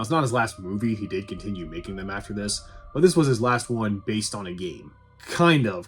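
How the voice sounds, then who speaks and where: Husky, sarcastic man reviewing movies. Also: fairly low pitch. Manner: sarcastic, sweary, dry humour. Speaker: video game movie reviewer. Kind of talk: casual scripted review commentary. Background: faint music.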